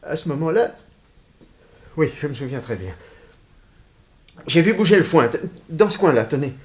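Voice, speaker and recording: An actor playing an indignant, bewildered young man accused of murder, protesting haltingly in a 1960s French radio drama. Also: a little high, big pitch swings, darkish tone. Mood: anxious recollection.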